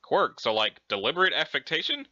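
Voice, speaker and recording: A man's voice: on the high side, huge pitch swings, brisk, pushed and bright, dry recording, muffled recording, heavily acted. Man playing a blunt, sarcastic, impatient human youth. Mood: incredulous. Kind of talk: casual character reading of a webcomic.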